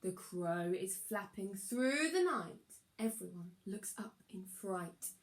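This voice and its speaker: A woman reading a children's rhyming storybook aloud as a teacher would, with expressive, sing-song, deliberate delivery. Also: mid-range voice.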